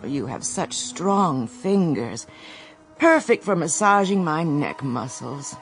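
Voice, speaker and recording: Slow, languid low-voiced mother. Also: sing-song, faint music behind. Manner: languid, indulgent, slow-spoken. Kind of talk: radio drama acting.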